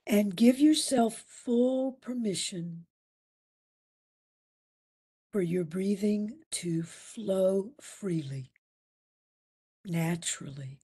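A woman leading a guided meditation as instructor, speaking soothingly in short phrases with long pauses between them. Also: medium pitch, warm tone, breathy edge.

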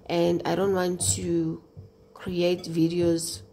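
A woman's voice: fairly low pitch, completely flat delivery, slow pace, mellow. A woman homemaking vlogger chatting casually to her viewers in a hesitant, conversational way. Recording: noisy home recording with clicks.

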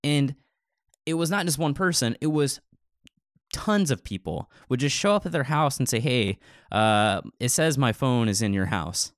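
Male podcast co-host, mid-pitched, informal and chatty storyteller, very dry recording. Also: lively intonation, moderate pace, no room sound. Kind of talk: casual podcast chat.

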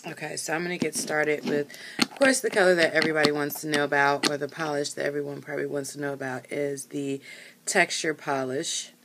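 A woman's voice: low voice, fairly even intonation, brisk, noisy background. A woman in her thirties reviewing nail polish while painting her nails, talking casually with lots of fillers and self-corrections in a small room. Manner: rambling, hesitant, many fillers and self-corrections.

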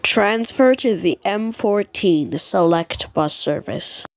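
A woman's voice: medium pitch, animated, takes it slowly, no echo. Woman, mid-range voice, transit announcer, scripted announcements. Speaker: train announcer.

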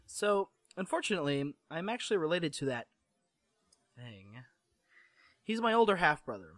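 A sarcastic young man with French blood, mock-grand and guarded, cursing in French when annoyed, as a man reads him. His voice leaps up and down in pitch. It sounds dry and close to the mic. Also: big pitch swings, normal speed, fairly bright tone, dry recording. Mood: wry.

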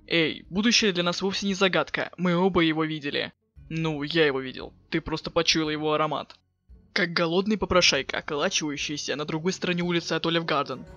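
Casting: man as laconic cool teen boy, deadpan sarcasm, bright, slightly high voice, webcomic dub. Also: fast talker, no echo, highs cut off, faint music behind. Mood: sardonic. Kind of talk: fan dub of a webcomic chat.

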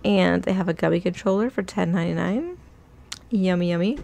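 A woman narrates her store walk-through in a relaxed, upbeat chat, pointing out items and prices. Her voice sits a little low and has a gravelly, fry-laden edge. It sounds picked up on a handheld phone inside a big shop. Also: brisk. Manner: chatty, upbeat, reads out prices. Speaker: shopping vlogger. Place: inside a large retail store.

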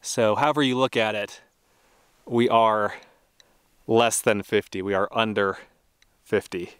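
Young guy vlogging outside, chill and chatty, laughs a bit, smooth clear voice, dry close sound. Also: fairly low pitch, fairly even intonation, measured pace, a bit bright, no echo. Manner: easygoing, thinking aloud, with small laughs. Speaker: travel vlogger. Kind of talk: casual outdoor vlog narration. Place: outdoors at a remote test site.

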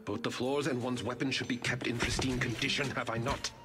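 A man playing a stern, composed wolf-man butler in a video game, speaking formally and politely with measured command. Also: medium pitch, little pitch movement, lightly acted. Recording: music behind the voice.

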